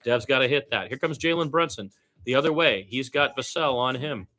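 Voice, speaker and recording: Forceful, bright-voiced male basketball play-by-play announcer. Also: brisk. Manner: blunt, opinionated, repeats names and phrases for emphasis; animated, swinging from low asides to loud high calls. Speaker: radio play-by-play announcer for the home team. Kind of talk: excited partisan basketball play-by-play commentary.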